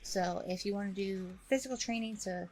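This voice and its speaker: A woman playing a chatty, self-assured dating coach in an ASMR roleplay, rambling and reassuring with a creaky voice. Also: medium pitch, moderate pace, a little mellow, close to an everyday voice. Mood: businesslike, friendly. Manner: rambling, hesitant asides, reassuring. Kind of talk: ASMR roleplay, one-sided conversation with the listener.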